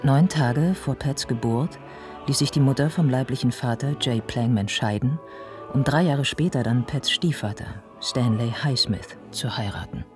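A sober, observing narrator played by a man tells a radio play in measured, literary phrases. His voice is mid-pitched and matter-of-fact, with music clearly playing underneath. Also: natural voice. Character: sober male narrator observing an ageing writer's life. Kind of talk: scripted radio drama narration.

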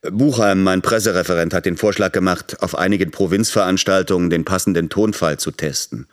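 Actor as a very deep, cynical politician. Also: male voice, brisk, close to an everyday voice. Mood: calculating. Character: calculating, cynical politician on the campaign trail. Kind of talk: first-person radio drama monologue.

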